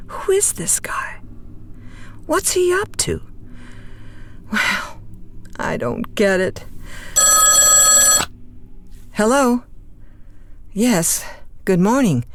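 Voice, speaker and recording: A woman acting in a radio drama as a rattled, snappy overnight radio hostess with halting, exclamatory speech. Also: fairly low pitch, a little hiss or hum, exaggerated voice.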